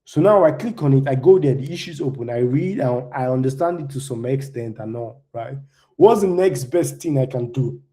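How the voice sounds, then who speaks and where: A young man from Nigeria, English not his first language, walks an audience through a live demo in a loose, chatty way. His voice is mellow and warm, sitting at a middling pitch. He keeps checking in with a quick "right?" after nearly every point. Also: animated. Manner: loose and conversational, tags "right?" often. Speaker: conference speaker.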